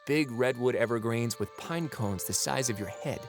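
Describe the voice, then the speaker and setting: An actor plays a knowing narrator of a fantasy world in an audio drama. His mid-range voice speaks directly and confidingly to the listener. Music plays clearly behind him. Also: mid-range voice, normal speed, close to an everyday voice.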